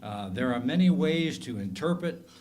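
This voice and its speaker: A man in his sixties with a bad cold, a retired professor giving a measured, methodical lecture to a club meeting, with frequent ums and uhs. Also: medium pitch, expressive, a little mellow. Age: 60s. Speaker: guest speaker, retired professor.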